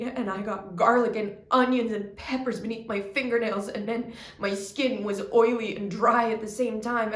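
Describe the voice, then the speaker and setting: A woman performing a dramatic monologue as a grieving, self-doubting young cook, speaking in a halting, confessional way. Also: mid-range voice, close to an everyday voice. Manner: halting, hesitant, confessional, with stammered restarts. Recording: echoey room, clear background noise.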